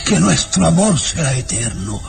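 An actor playing an older, obsessed and menacing baron in a radio drama, slow and brooding, sometimes breaking into shouts. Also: male voice, fairly high pitch, sing-song, vocal fry. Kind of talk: 1960s radio drama acting. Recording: radio drama with loud music bed.

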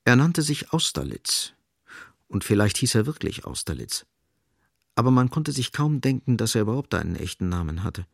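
A man narrating a literary radio play in a measured, precise storytelling manner, close to a studio microphone. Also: mid-range voice, sing-song, vocal fry, breathy edge, dry recording. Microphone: close, dry studio microphone.

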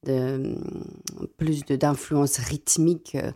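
A young South African woman whose first language is Afrikaans, a classical singer answering radio interview questions in a halting way with many repeated words. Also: low voice, fairly even intonation, mellow.

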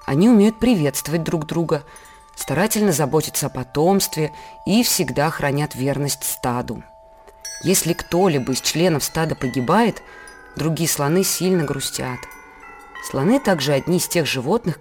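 A woman's voice: deep voice, expressive, a little mellow. Woman as a patient mother explaining, over music. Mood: patient, explanatory. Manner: measured, explanatory storytelling. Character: patient mother explaining things to her child. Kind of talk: scripted educational narration for children.

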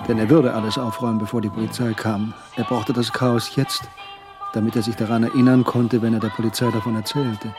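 A man's voice: lowish voice, level tone, a little fast, music in the background, close to an everyday voice. A man performing as the third-person narrator of a German crime radio drama, with scripted, tense storytelling. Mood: brooding.

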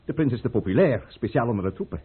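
An actor playing a calculating, deferential count in an old radio drama, speaking in a measured and grave manner. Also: male voice, medium pitch, lively intonation, husky. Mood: urgent, persuasive. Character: calculating, courtly count, a conspirator serving the tsar. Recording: muffled, narrow-band old radio recording.

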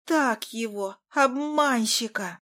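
A woman's voice: on the high side, takes it slowly, a bit bright. A woman voicing a small cheeky female creature that giggles and gossips in an audiobook.

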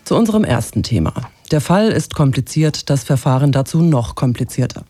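Mellow male German radio news presenter. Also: mid-range voice, lively intonation, brisk, no echo, faint music behind. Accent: standard German from Germany.

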